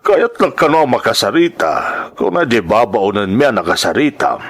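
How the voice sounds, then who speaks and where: A man playing an imposing, well-off older patron in a radio drama, measured and theatrical. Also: lowish voice, sing-song, slightly rough. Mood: probing, stern. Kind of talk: Ilocano radio-drama acting.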